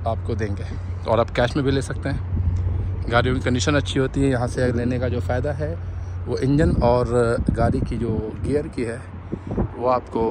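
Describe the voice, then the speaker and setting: Male car vlogger, medium pitch, conversational, English words mixed in, noisy outdoor phone recording. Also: fairly even intonation, moderate pace. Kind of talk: casual walk-around car review with English words mixed in. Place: outdoor used-car parking lot at night. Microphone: handheld phone, behind the camera.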